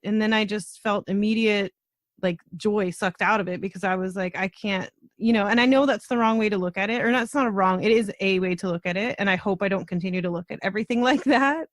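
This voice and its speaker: A woman hosts a conversational podcast in a reflective, easygoing way. Her mid-pitched voice sounds close and dry, with no room echo around it. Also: fast. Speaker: podcast host.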